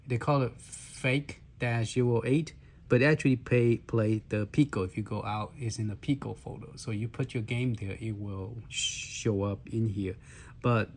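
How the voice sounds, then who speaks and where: A man with a lowish, slightly hoarse voice clears his throat now and then. He talks through a gaming device's menus in a loose, halting way and often fills his pauses. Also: darkish tone. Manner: halting, many fillers and restarts. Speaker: handheld gaming reviewer. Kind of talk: casual walkthrough demo.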